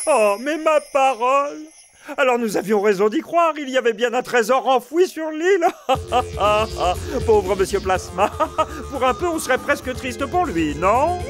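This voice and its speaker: Loud music backs a very high, unhurried cartoon vampire dad. He is hearty, theatrical and excitable, in exaggerated style. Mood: cheerful. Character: jolly, excitable vampire father playing at being a sailor. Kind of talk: exaggerated cartoon dub acting.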